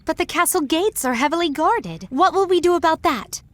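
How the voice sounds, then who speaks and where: A woman's voice: extremely high pitch, expressive, a little fast, no echo. A woman voicing a polite, anxious young female rebel in a video game, hesitant and questioning. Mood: earnest, uncertain.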